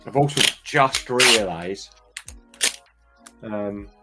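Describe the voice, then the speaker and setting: A man with a light Scottish accent chatting casually to his viewers as a vlogger, rambling and hesitant with many restarts. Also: mid-range voice. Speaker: toy and claw machine vlogger.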